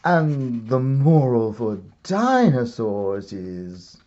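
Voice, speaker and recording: A man reads a children's story aloud as an expressive narrator, theatrical and deliberate with comic timing. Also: medium pitch, lo-fi sound. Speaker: storybook reader, art and stories teacher.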